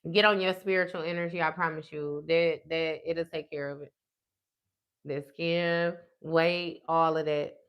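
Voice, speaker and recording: A woman's voice: deep voice, steady tone, a little slow, clean tone, dull audio. A woman in her thirties chatting live with her viewers as a tarot host, blunt and profane. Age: 30s. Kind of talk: casual livestream chat with viewers.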